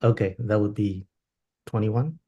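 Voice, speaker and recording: A man in his thirties from Taiwan speaks over a laptop webcam from a room at home. His voice sits a bit low. He answers thoughtfully, restarting phrases as he searches for the right word. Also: measured pace, mellow, muffled recording. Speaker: violinist guest. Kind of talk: video call interview. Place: home room with plain wall. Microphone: laptop webcam on video call.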